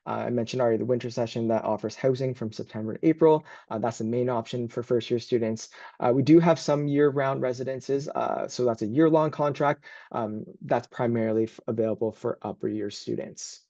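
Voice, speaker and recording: A man's voice: medium pitch, brisk, creaky, mellow, dry recording, dull audio. A man working as a university admissions advisor, hosting and presenting an informal online information session.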